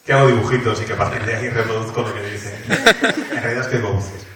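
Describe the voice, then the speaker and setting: A man with an accent from Spain, interpreting a guest's anecdotes aloud in a colloquial, expressive first-person retelling. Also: on the low side, fast. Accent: Spanish, from Spain. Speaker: consecutive interpreter. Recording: very echoey hall, distant, faint noise.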